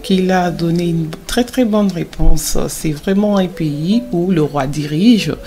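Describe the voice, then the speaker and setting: A woman playing a kind mother who gives children a Bible lesson in an animated film, encouraging and explanatory, with some hesitations. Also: on the low side, unhurried, soft background music, close to an everyday voice.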